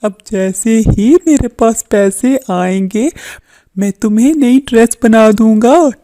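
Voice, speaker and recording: A woman's voice: on the high side, takes it slowly, dark tone. A woman voicing a kindly, patient father bird in a children's cartoon, soothing and coaxing. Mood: reassuring, apologetic. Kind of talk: Hindi children's cartoon character voice.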